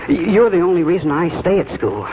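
An actor playing a sulky, lovestruck eighteen-year-old schoolboy with an earnest, stammering delivery in a 1940s radio drama. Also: male voice, high-pitched, big pitch swings, fairly quick, narrow, tinny audio. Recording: noisy, thin 1940s radio broadcast.

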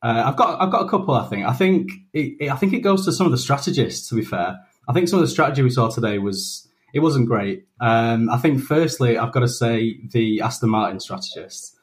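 A man's voice: mid-range voice, animated, brisk, few pauses. A man, a guest on a podcast, giving his views thoughtfully, with hedges and many restarts. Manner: thoughtful, hesitant, restarts often. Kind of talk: casual chat.

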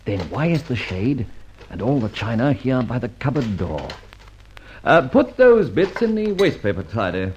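An actor playing a suave, wry, self-assured gentleman thief in a vintage radio drama, with an urbane manner. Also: mid-range voice, sing-song, normal speed, a little hiss or hum, lightly acted. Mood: commanding. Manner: urbane, wry, clipped commands. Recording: dull vintage radio recording, music behind.

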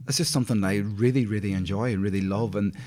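A man's voice: fairly low pitch, fast, mellow. A man with a Northern Irish accent chatting in a friendly, casual way as an interview guest, reminiscing with frequent you-knows. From County Derry, Northern Ireland.

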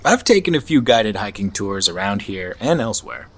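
An actor playing an affable, knowing RV traveler in an audio drama, conversational and slightly teasing. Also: male voice, fairly low pitch, big pitch swings, normal speed, a bit bright, full character voice. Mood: informative, enthusiastic. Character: affable, knowing male RV traveler, subtly probing. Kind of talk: naturalistic audio drama acting.

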